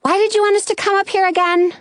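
Woman as a whiny, high-voiced frustrated girl. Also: sing-song, measured pace, no echo, clean studio sound, full character voice. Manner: whiny, protesting. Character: wary, frustrated young girl heroine. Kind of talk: children's storybook character voice.

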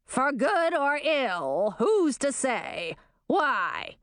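A woman putting on a raspy, sneering hag queen. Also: sits high, sing-song, extremely slow, fairly bright tone, no echo, clean studio sound, exaggerated voice. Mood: mocking. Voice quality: raspy, scornful. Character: cruel cursed queen turned hag, mocking.

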